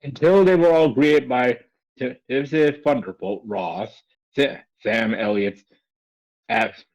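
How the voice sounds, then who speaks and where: A man co-reading a comic script casually on a livestream, halting and stumbling over the words. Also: mid-range voice, expressive, gravelly, a little mellow, low-quality recording. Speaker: co-reader on a comedy livestream. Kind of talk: casual read-aloud narration.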